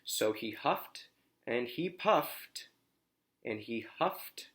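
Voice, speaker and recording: A man reading a children's storybook aloud like a teacher, expressively, pausing to explain and ask questions. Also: mid-range voice, animated, fairly bright tone.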